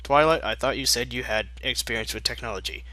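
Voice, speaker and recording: A stranded male mech, awkward and halting, voiced by a man. The storybook robot speaks in a deadpan way with a very bright edge and a dry, close sound. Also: mid-range voice, no echo, natural voice. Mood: hesitant.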